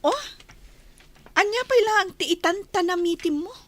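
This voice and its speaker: A woman's voice: very high-pitched, lively intonation, a little slow. A woman playing a haughty, cold mistress of a mansion in a radio drama, stern and commanding. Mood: angry, commanding.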